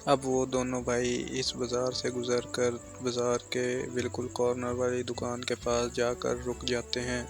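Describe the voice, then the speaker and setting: Male storyteller for scripted cartoon narration, mid-pitched, extremely flat intonation, music behind. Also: normal speed, hardly any pauses.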